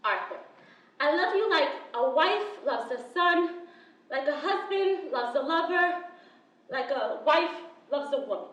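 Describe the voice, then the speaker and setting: Woman playing a flighty, very high-voiced theatrical mother. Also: animated, unhurried, fairly bright tone. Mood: grandly sentimental. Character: flighty, theatrical mother juggling husband, son and suitor. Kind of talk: stage farce acting. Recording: echoey room, distant microphone.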